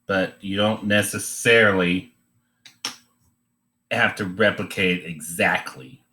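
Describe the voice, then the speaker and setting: A man giving an informal, unscripted drawing demonstration, thinking aloud in a halting way as he teaches. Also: deep voice, bright, forceful tone.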